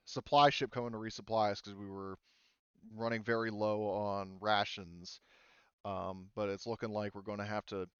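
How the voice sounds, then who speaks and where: A man casually narrating a tabletop roleplaying game as game master, speaking haltingly as he searches for words. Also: on the low side, fairly bright tone, clean tone, dry recording, highs cut off.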